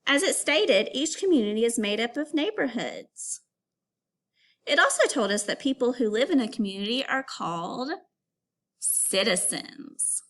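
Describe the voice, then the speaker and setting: Female primary teacher for a kids' read-aloud: higher voice, very animated intonation, instructional, questioning the listener directly. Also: unhurried. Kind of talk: educational read-aloud for young children.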